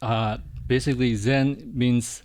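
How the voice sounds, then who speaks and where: Man, middle-aged, from Indonesia, Buddhist monk, interview guest, soft and halting, mid pitch. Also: steady tone. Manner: hesitant, soft-spoken, with frequent restarts and small laughs. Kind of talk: casual interview answers.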